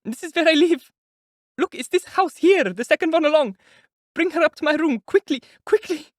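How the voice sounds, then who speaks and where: A woman's voice: high voice, expressive, moderate pace, no echo, clean studio sound, pitched above the performer's own voice. A man voicing an anxious young woman, urgently begging people for help in a storybook reading. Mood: pleading.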